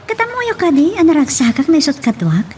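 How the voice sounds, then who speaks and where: A woman's voice: high-pitched, big pitch swings, a little fast, a little mellow, a little hiss or hum. A woman with an Ilocano accent playing a sweet, affectionate young daughter in a radio drama. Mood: cheerful.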